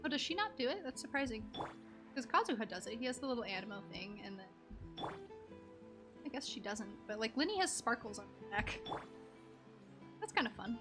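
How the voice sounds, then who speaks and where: A woman's voice: on the high side, sing-song, moderate pace, pauses often. A woman doing casual live game commentary as a streamer, playful and rambling. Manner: playful, rambling, makes silly sound effects. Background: game music.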